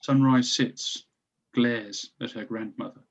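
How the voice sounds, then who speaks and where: Male narrator for a table read, scene directions, average pitch, slightly dull recording. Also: steady tone, slow pace, vocal fry. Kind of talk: scripted narration of a screenplay.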